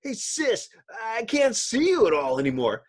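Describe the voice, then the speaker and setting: A reader doing an excitable, high-voiced teenage boy. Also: a little slow. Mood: alarmed. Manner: wide-eyed, excitable, melodramatic. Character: Johnny, excitable impulsive teenage boy, alarmed.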